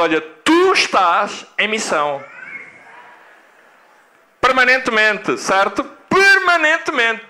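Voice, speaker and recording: A middle-aged man from Angola with a European Portuguese accent, preaching a sermon as a guest pastor. He speaks slowly and deliberately, repeats key phrases, and builds to emphatic peaks. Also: extremely high pitch, pushed and bright. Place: church hall.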